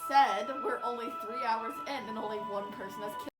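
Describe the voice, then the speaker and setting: Snarky young woman, fairly high voice. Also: a little fast, roomy sound, distant mic. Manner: blunt, snarky. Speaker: co-host reading along. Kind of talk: casual heckling banter over a game. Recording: loud music behind the voice.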